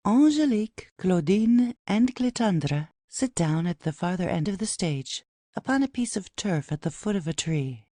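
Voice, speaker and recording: A woman's voice: mid-range voice, expressive, normal speed, warm tone, no echo, studio quality. A woman narrating an audio play, reading out headings and stage directions as scripted narration.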